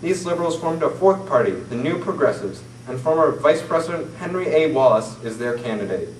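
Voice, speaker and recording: A teenage boy reading a mock 1940s newscast from paper at a classroom table, in a formal, steady manner. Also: on the high side, a little fast. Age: teens. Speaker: student newsreader. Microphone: far camera mic. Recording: roomy, distant camera audio with faint hiss.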